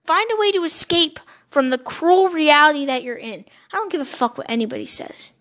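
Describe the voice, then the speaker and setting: A woman's voice: sits high, animated, brisk, a bit bright. A young woman in her teens hosting a solo podcast, talking earnestly and rambling, stumbling over words and swearing in self-mocking asides. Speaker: podcast host. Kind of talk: solo motivational podcast monologue.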